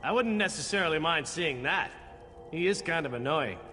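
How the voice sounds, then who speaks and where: A man's voice: on the high side, lively intonation, normal speed, sharp tone, a little hiss or hum. A man playing a cocky, sardonic knight in a video game, with a flippant, teasing, wry way of talking. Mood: mocking. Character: cocky sardonic knight, male adventurer, jaded but charming. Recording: long hall reverb, music behind.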